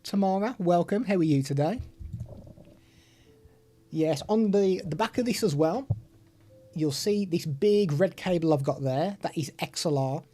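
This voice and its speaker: A man's voice: sits high, measured pace, pauses often, mellow. A man from the United Kingdom with a British accent, hosting a live stream and explaining a product step by step while chatting with viewers.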